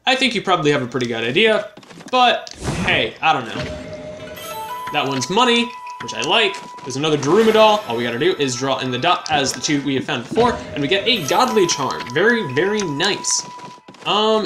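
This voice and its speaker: Chatty young man narrating gameplay. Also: on the high side. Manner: chatty, self-deprecating, bouncy exclamations. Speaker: let's play host. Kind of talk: casual gameplay commentary. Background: game music.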